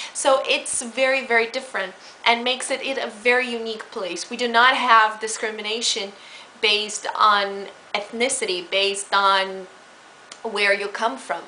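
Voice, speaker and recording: Bright-voiced woman, chatty. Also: a little high, a little hiss or hum, poor audio. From Brazil. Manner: chatty, explanatory, with fillers and restarts. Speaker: speaker explaining her home country. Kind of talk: casual spoken talk.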